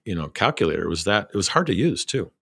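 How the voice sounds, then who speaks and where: A man's voice: sits low, sing-song, fast talker, vocal fry, a bit bright, slightly rough, dry recording. A middle-aged man hosting a podcast, chatting casually about business in a rambling, colloquial way. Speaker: podcast host.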